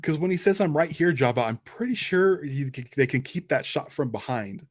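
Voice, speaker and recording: A man's voice: on the high side, lively intonation, moderate pace, no echo, studio quality. A man hosting a podcast, talking about film in a casual, enthusiastic, conversational way like a fan.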